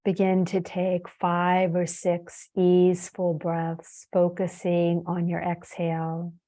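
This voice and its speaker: Woman, meditation teacher, lowish voice, very even flat melody, soothing, unhurried, long pauses. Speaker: yoga nidra and meditation teacher. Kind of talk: guided meditation.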